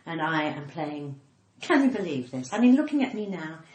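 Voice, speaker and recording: A woman's voice: sits low, sing-song, moderate pace, darkish tone, echoey room. An older woman with a cut-glass English accent chatting playfully and theatrically as a podcast guest. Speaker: guest comedian and actor.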